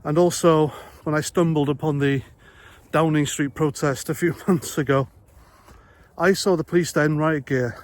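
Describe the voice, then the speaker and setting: A man's voice: on the high side, fairly even intonation, normal speed. A man from Wales with a Welsh accent, an ex-army vlogger giving a blunt, conversational opinion monologue full of rhetorical questions.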